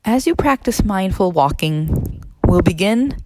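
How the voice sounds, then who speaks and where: A woman narrating a guided meditation as an instructor, in a measured, instructional way with pauses between phrases. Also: mid-range voice, lively intonation, background noise. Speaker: neurologist leading a guided meditation.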